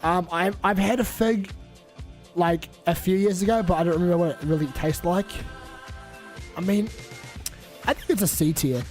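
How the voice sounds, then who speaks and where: A man's voice: high-pitched, normal speed, pauses often, darkish tone, faint music behind. A young man with a New Zealand accent who is sick, rambling and swearing casually on a live stream while reading chat aloud.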